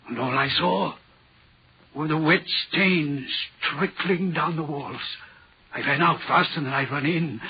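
A man's voice: fairly high pitch, husky. An actor playing an anxious, troubled railway signalman in an earnest, dramatic 1940s radio horror style. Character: haunted, anxious railway signalman telling of a spectre. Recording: dull, muffled 1940s radio recording.

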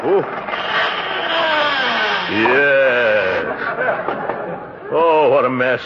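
An actor playing a pompous, flustered middle-aged uncle in a 1940s radio comedy, sputtering and exclaiming. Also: male voice, mid-range voice, lively intonation, extremely slow. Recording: muffled, noisy old radio recording.

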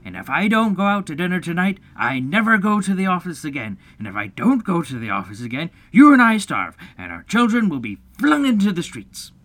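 A woman's voice: rumbling low, lively intonation, measured pace, a little mellow, no echo, pitched below the performer's own voice. A woman voicing a blustering, pompous middle-aged husband and father, theatrical, stern and prone to sarcasm and self-pity.

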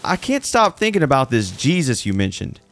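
A man's voice: medium pitch, sing-song, normal speed, creaky, a bit bright, slight background noise. A man playing a friendly, earnest neighbor in an audio drama. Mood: earnest, searching. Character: friendly, folksy Southern male neighbor, curious and earnest. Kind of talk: church audio drama acting.

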